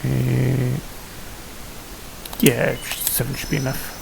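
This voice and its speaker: Gravelly-voiced man muttering over gameplay. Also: medium pitch, completely flat delivery, slow. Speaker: gaming video host. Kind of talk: casual gameplay commentary. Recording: hissy home recording, background noise.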